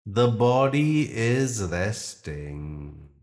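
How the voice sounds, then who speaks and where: Very slow, low-voiced male meditation guide. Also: very animated. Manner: gentle instructing in short phrases with long pauses. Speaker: yoga nidra teacher.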